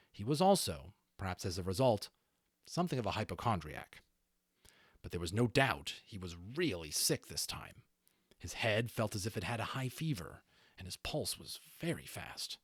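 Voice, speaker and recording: A man narrating a children's book as an amateur reader, in a dramatic, deliberate storytelling style. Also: on the low side, no room sound, clean studio sound.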